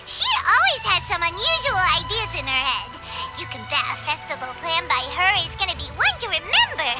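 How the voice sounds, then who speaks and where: A woman voicing a tiny, excitable, cheeky childlike fairy girl in a theatrical, exclamatory way for a video game. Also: very high-pitched, fairly quick, full character voice. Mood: excited. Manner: exclamatory, theatrical, refers to herself by name. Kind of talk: video game cartoon voice acting. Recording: music behind the voice.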